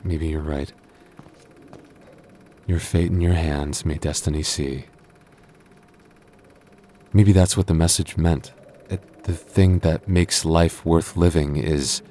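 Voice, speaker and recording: An actor playing a gentle, nervous goth boyfriend in an ASMR roleplay, soft-spoken and stammering when flustered. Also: male voice, rumbling low, slight background noise, heavily acted. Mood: tender, soft.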